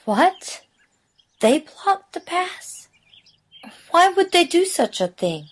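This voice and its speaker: A woman's voice: very high voice, sing-song, slow pace, heavily acted. A woman playing a solemn, concerned priestess in a sci-fi audio drama. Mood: shocked.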